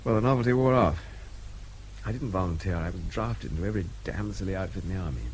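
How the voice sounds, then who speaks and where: An actor playing a wry, war-weary intelligence captain in a radio drama, dry, clipped and self-deprecating. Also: male voice, sits low, fast. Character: war-weary, wry British army intelligence captain, frank about fear. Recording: radio drama with faint background noise.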